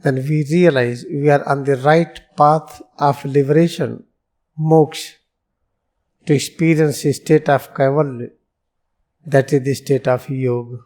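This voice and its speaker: A man with an Indian accent leading a guided meditation as a yoga guru, speaking in solemn, deliberate, measured phrases with pauses. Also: medium pitch, steady tone, darkish tone.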